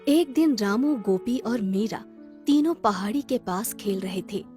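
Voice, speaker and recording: A woman narrates a children's tale as a scripted storyteller. Her voice sits fairly high, and music plays behind her. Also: expressive, measured pace, dark tone.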